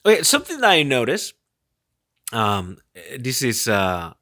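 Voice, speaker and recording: Halting man, bright voice. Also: mid-range voice, wildly swooping pitch. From born in Peru, grew up in Spain. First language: Spanish. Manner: halting and rambling, frequent self-repetition. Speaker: podcast host. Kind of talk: casual solo podcast monologue.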